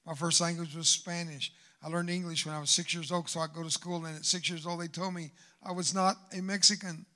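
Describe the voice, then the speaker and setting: An older man preaching a sermon in church, swinging from loud exhortation to hushed asides. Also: on the high side, little pitch movement, brisk. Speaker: guest preacher. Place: church sanctuary.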